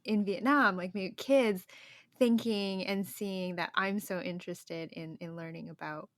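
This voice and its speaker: A young woman hosting a podcast, talking casually and openly, quick to agree and share her feelings. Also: mid-range voice, steady tone, no echo. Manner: warmly engaged, quick affirmations, emotional. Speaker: podcast host. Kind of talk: casual conversation.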